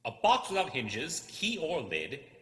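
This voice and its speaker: A man voicing an anxious but quick-witted little hobbit in a storybook reading, speaking out loudly and emphatically. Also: on the high side, huge pitch swings, voice pushed up, heavily acted. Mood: eager riddling. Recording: cavernous hall reverb.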